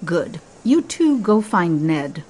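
A woman's voice: fairly low pitch, sing-song, slow pace, darkish tone, a little hiss or hum. An actress playing a cold, commanding, ruthless woman in charge in an audio drama, curt and imperious.

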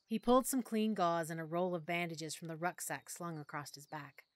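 A woman narrating an audiobook in the first person, quietly and with emotion. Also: on the low side, normal speed.

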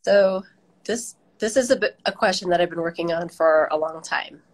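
A woman's voice: on the low side, normal speed. A middle-aged woman with an American accent answering interview questions reflectively over a video call from a room at home. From Southern California, US. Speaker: interview guest, garden director. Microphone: video call, device at arm's length.

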